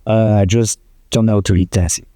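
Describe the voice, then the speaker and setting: A man's voice: on the low side, steady tone, fairly quick, dark tone, no room sound, studio quality. A middle-aged man from France with a French accent, a company president answering interview questions hesitantly with many fillers. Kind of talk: interview conversation.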